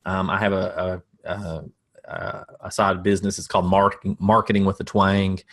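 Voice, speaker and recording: A man's voice: sits low, little pitch movement, normal speed, vocal fry. A middle-aged man with a Southern American accent, teaching coaches about marketing in a casual, folksy way with self-deprecating jokes.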